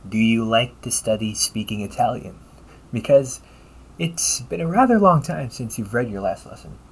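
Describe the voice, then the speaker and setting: A man voicing an upbeat, teasing AI companion in an audio roleplay, polite and fussily helpful, with a gently nagging manner. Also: mid-range voice, lively intonation, moderate pace, breathy edge. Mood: helpful, chatty. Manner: polite, chirpy, gently nagging, butler-like phrasing. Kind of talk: scripted audio roleplay addressed to the listener.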